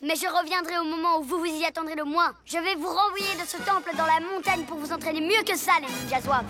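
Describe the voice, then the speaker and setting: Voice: extremely high pitch, fast talker. An actor voicing a boastful young ninja villain in a children's cartoon, petulant and taunting, with sneering insults. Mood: sulky, defiant. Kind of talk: animated children's series dub. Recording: music behind the voice.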